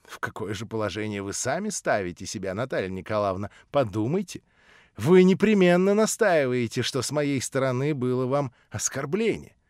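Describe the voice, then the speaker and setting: A man reading an audiobook voices an older nobleman, lowered, measured and cool. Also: lively intonation, darkish tone, dry recording, clean studio sound, natural voice. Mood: cold. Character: older nobleman, cold, condescending and smooth.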